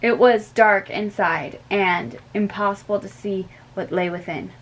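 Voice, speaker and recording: A young woman reading a storybook aloud in a slow, careful way with small stumbles, close to a webcam in a bedroom. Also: medium pitch, slight background noise, poor audio. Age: young adult. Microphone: webcam at close range.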